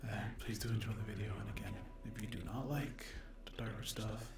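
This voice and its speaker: A man chatting casually to his ASMR viewers in a soft voice that often drops to a whisper, hesitant and apologetic. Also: on the low side, fairly even intonation, fairly quick. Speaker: ASMR roleplay video creator and host. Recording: roomy echo.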